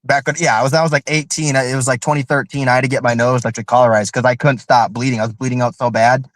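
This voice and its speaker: A man's voice: medium pitch, level tone, rapid-fire, a bit bright, dry recording. Rambling, slightly nasal man co-host. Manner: rambling, heavy on filler "like". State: recovering from illness, slightly nasal. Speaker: returning co-host. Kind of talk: casual podcast chat.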